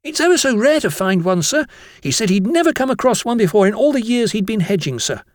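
A man voicing an excitable, innocent eleven-year-old schoolboy with eager, earnest delivery in a storybook. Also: high voice, brisk, no echo, studio quality, voice pushed up. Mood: excited. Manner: breathless eagerness, protesting earnestly.

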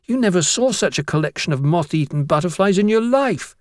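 A man's voice: sits high, animated, brisk, no room sound, studio quality, pitched above the performer's own voice. A man voicing a chatty, melodramatic young schoolboy in an earnest, insistent storybook performance. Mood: mournfully dramatic. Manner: earnest, insistent, addressing teacher as sir.

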